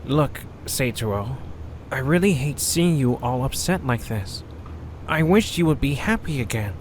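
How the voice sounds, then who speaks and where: Amateur audio drama: male actor as a gentle, clumsy little flyer, slow and soft-spoken, light hiss. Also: mid-range voice, expressive, lightly acted. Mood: caring. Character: small clumsy propeller-flying male creature, gentle.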